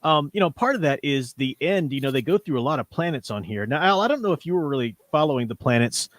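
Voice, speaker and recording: A man's voice: a little high, lively intonation, fast talker, dry recording. A man in his forties hosting a livestream, talking casually and enthusiastically as a fan, in a rambling way. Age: 40s. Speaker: livestream host. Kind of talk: casual fan discussion of comics and audio dramas.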